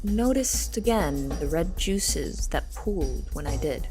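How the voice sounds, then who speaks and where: A woman acts as an earnest, curious young cook telling her story in an audio drama. Her voice sits a little low, and her wording is formal and reflective. Music plays clearly behind her. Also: animated, takes it slowly. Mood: reflective, measured. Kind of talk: audio drama first-person narration and dialogue.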